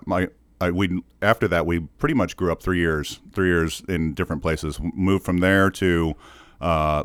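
Deep-voiced man with Dutch roots. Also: smooth, no echo, studio quality. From Netherlands. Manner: thoughtful, rambling with restarts. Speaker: podcast guest, corporate communications leader. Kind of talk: casual interview chat.

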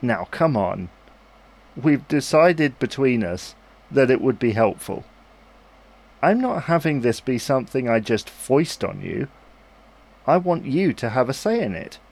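A man plays a reasonable young husband and father in a homely audio drama. His voice sits at a middling pitch with plenty of rise and fall. He coaxes gently and reassures as he goes. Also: very animated, measured pace, gravelly, pauses often, heavily acted. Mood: encouraging. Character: Harry, patient, reasonable husband and new father, coaxing. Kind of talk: naturalistic domestic audio drama acting.

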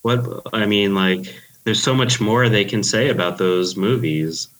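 Deadpan low-voiced New York man. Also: unhurried, noisy background. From Queens, New York. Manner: sleepy deadpan monotone. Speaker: podcast co-host. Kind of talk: casual chat.

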